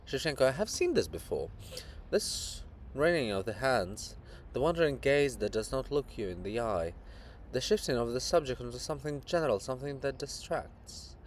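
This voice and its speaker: A man voicing a monument of a brooding, fatherly old poet in a stage drama, speaking in a solemn, searching, deliberate way. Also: medium pitch, sing-song, a little hiss or hum, lightly acted. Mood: suspicious.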